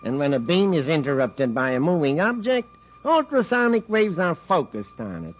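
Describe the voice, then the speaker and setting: An actor playing a gloating criminal villain in a 1950s radio serial, speaking theatrically and savouring every menacing detail. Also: male voice, on the high side, big pitch swings, moderate pace, slight background noise. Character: gloating criminal villain with a deadly beam weapon. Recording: muffled old 1950s radio recording.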